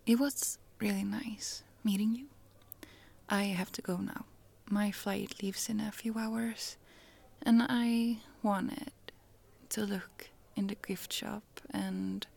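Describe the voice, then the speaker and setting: A woman voicing a friendly, chatty young Swedish traveler with a Swedish accent, in a soft, giggly whisper for an ASMR roleplay. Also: mid-range voice, fairly even intonation, slow pace, vocal fry, husky, heavily acted.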